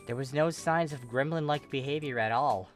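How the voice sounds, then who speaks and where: A reader narrating a comic fan fiction story in an expressive, emphatic way. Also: medium pitch, normal speed, faint music behind.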